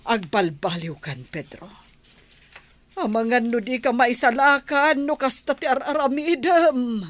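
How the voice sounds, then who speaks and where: A woman's voice: on the high side, measured pace, close to an everyday voice. An actress playing a stern, morally upright middle-aged mother in an Ilocano radio drama, with an Ilocano accent. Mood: reproachful, pleading.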